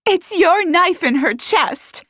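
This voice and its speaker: A woman's voice: mid-range voice, big pitch swings, slow, fairly bright tone, slightly rough, dry recording, studio quality, exaggerated voice. A woman voicing a hot-tempered, accusatory woman in an audiobook mystery, huffy and demanding. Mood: accusing.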